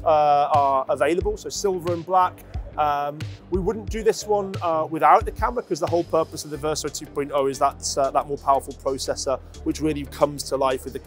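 A man in his forties explaining a product in a measured way, as a company representative interviewed on a lapel mic. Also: fairly high pitch. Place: busy trade show exhibition hall.

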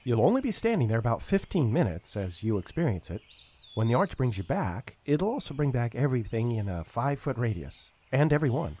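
Cautious male sci-fi technician, played by a man; warm, dark tone, lively intonation, audio drama. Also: mid-range voice, brisk, breathy edge. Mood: matter-of-fact. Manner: precise, explanatory. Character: earnest, cautious male technician explaining space travel.

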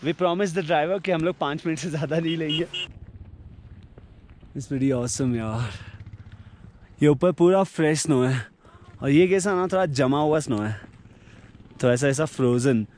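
A young man talking to a handheld camera outdoors and from a car, chatty and excited as he shows the road to viewers. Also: a little high, a little slow, long pauses, slight background noise. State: short of breath at high altitude. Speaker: travel vlogger. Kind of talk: casual travel vlog, Hindi mixed with English. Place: outdoors on snowy mountain road and inside a car. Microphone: handheld camera at arm's length.

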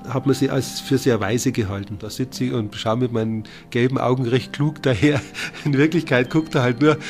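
A man with a medium-pitched voice explains things informally, like an expert talking off the cuff. Music plays clearly behind him. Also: darkish tone. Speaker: bird expert.

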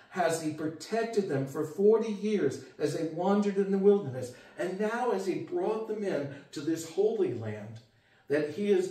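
An older man preaching an earnest sermon from his living room, recorded by a camera across the room. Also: high-pitched. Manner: earnest, gesturing, rises for emphasis and drops quiet. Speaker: pastor. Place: home living room with guitars and a TV. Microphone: far camera. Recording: echoey room from far off.

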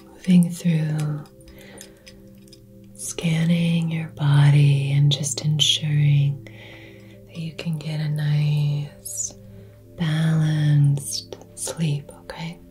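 A woman softly whispering a soothing ASMR Reiki session, speaking gently and personally to the listener as a healer. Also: deep voice, flat delivery, drawn-out words, pauses often, soft background music. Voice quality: breathy whisper. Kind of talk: soft-spoken ASMR healing session.

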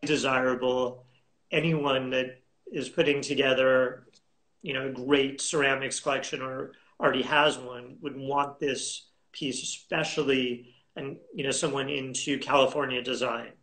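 Slow-talking man on a video call. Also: medium pitch, fairly even intonation, fairly bright tone, poor audio. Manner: unhurried, halting, many fillers and restarts. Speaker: auction house specialist, guest. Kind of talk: conversational interview over video call. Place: home room indoors. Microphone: video call webcam.

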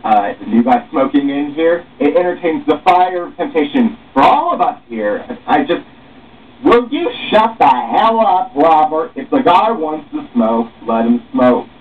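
A man's voice: fairly high pitch, big pitch swings, a little slow. Young man reading aloud, tinny distant recording. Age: young adult. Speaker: author reading own script aloud. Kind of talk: dramatic reading of dialogue, playing all parts. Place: small room at home, seated. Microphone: far camera or webcam microphone. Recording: muffled phone-like webcam sound, distant, noisy.

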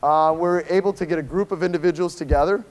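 Higher-voiced American man lecturing informally. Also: fairly even intonation, fast talker, poor audio. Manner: conversational, emphatic, often checks in with "okay?". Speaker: professor giving a conference keynote. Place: lecture hall, speaking to a seated audience.

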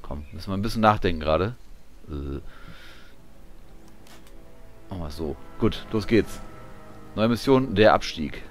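A man with a very deep voice chats offhandedly through a game, half muttering to himself. Faint music sits behind his casual commentary. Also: lively intonation, a little slow, pauses often. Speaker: gamer, let's player.

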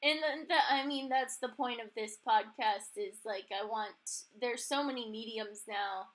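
A young woman with a fairly high voice hosts a podcast in a casual, conversational way. Her phrasing is informal and tentative, often feeling its way toward the point. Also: a little slow, fairly bright tone. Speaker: podcast host. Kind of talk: casual interview chat.

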